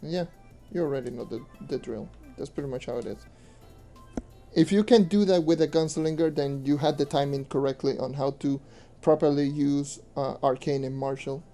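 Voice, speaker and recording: A young man streaming a game and answering questions in loose, rambling casual talk, with a hoarse voice he says he is losing. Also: on the high side, fairly even intonation, normal speed, dark tone. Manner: rambling, loose with fillers, mutters to himself. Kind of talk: casual gameplay commentary and Q&A. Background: faint music.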